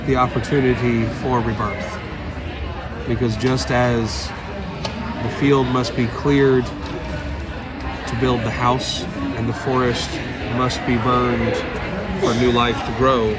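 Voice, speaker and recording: A man giving a solemn divination reading in measured, oracular phrasing. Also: medium pitch. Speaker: rune reader. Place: fair booth, filmed on location.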